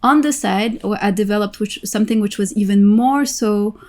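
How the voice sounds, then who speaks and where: A woman from Morocco, a guest on a business podcast, speaking in a thoughtful, measured way with frequent pauses and fillers. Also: medium pitch, a little mellow. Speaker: guest, social entrepreneur and impact investor. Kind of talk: podcast interview answers.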